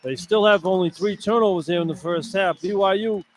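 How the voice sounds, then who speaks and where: A man's voice: high voice, brisk. A man working as a radio color commentator, giving conversational live basketball analysis over arena noise. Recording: arena crowd noise behind broadcast. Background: arena ambience.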